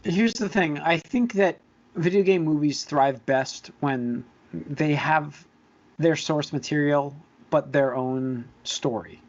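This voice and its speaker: A man's voice: a little high, slow. A man co-hosting a casual podcast, stating his views firmly in an opinionated way. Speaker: podcast co-host. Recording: dull, lo-fi audio.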